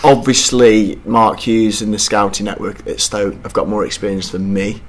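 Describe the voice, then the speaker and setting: A young man, co-hosting a casual football podcast and talking in an animated, opinionated way. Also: on the low side, normal speed. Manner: animated, opinionated, repeats himself when unsure. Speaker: podcast co-host. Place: small studio room with brick wall and curtain. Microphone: desk mic.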